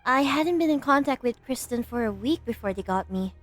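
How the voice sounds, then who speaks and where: A woman's voice: on the high side, expressive, fairly quick, fairly bright tone, soft background music. A woman playing a shrewd, wry, insistent doctor in an amateur game fan dub. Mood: urgent.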